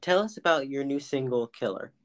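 A woman hosting a casual interview with an extremely low voice that still swings widely in pitch. She moves briskly and quickly from question to question. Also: expressive. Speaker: host.

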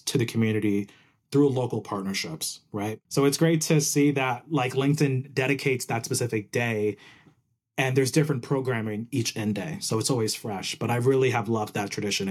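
A man's voice: medium pitch, darkish tone, slightly rough. A young man from Puerto Rico, raised in New Jersey, chatting eagerly as an interview guest and often tagging "right?" onto his points. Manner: enthusiastic, often ends with "right?". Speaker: interview guest, program manager. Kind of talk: casual interview chat.

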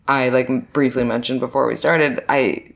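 A woman's voice: rumbling low, fairly even intonation, moderate pace, gravelly. A young woman co-hosting a casual podcast chat, telling dating stories with lots of "like" and acted-out quotes. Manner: chatty, lots of "like", acts out quotes.

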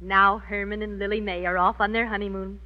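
A woman's voice: mid-range voice, little pitch movement, fairly quick, close to an everyday voice. A woman playing a cheerful, affectionate wife in a 1940s radio sitcom. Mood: relieved, fond. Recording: muffled old 1940s radio broadcast.

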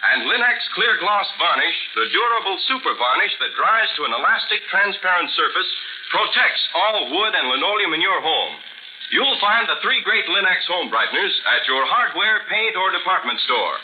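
High-pitched 1940s radio announcer man. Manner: emphatic, salesmanlike. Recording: noisy, tinny 1940s radio recording.

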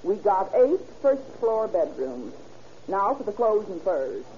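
Self-assured police clerk, American woman, mellow, lively intonation, brisk explainer, vintage radio drama, dull lo-fi sound. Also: medium pitch. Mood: brisk, confident. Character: brisk, confident woman police records clerk playing detective. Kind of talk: old-time American radio drama acting. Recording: muffled, noisy old radio recording.

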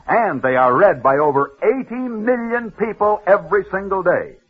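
A man hosting a radio sports newsreel, telling stories with dramatic pauses and punchy emphasis. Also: high voice, expressive, slightly rough. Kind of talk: 1940s radio sports storytelling. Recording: tinny old radio broadcast.

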